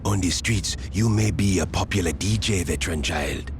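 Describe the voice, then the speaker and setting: A man's voice: sits low, normal speed, slight background noise, natural voice. An actor playing a stern male gang enforcer in a video game, cold and scolding.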